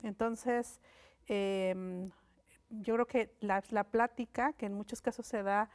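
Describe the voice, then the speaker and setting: A woman whose first language is Mixe, a linguist and writer from Mexico, speaking as a guest in a thoughtful, unhurried interview on a studio set, with frequent fillers and restarts. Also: medium pitch, steady tone. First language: Mixe (Ayuujk).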